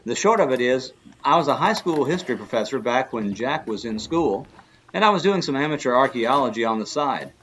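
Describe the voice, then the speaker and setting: A man's voice: medium pitch, animated, a little fast, a bit bright, slight background noise, lightly acted, low-quality recording. A man playing a good-natured older history professor and adventurer who explains things in a children's radio drama. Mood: genial storytelling. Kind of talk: kids' radio drama acting.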